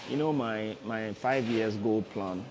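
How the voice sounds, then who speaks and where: A man's voice: lowish voice, slow, background noise. A man in his thirties, a forex trader hosting a casual livestream, who repeats himself and coaxes viewers directly. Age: 30s. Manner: repetitive, coaxing, addresses viewers directly. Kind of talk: casual motivational livestream talk.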